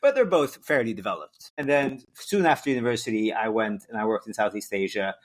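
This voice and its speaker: A man's voice: lowish voice, lively intonation, brisk, rough recording. A young man who grew up in Costa Rica and Hungary, hosting a podcast and asking curious follow-up questions. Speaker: podcast host. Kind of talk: casual interview conversation.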